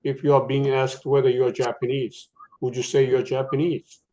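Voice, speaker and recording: Halting man pondering aloud on a panel. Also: mid-range voice, level tone, dark tone, poor audio. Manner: halting, thinking aloud with many fillers. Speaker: panelist, university professor. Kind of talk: panel discussion, academic.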